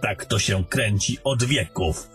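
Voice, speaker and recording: Male actor as cynical wizard mentor, older, low register, commanding lecturer, fantasy audio drama with music bed. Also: fairly bright tone. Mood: cynical, lecturing. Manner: measured, lecturing. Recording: music under the voice.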